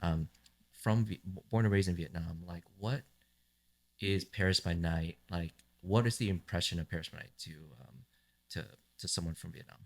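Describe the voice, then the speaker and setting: Deep-voiced American-born podcast host. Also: male voice, moderate pace, creaky. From United States. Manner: relaxed questions, frequent "um" and "like". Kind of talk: casual interview chat.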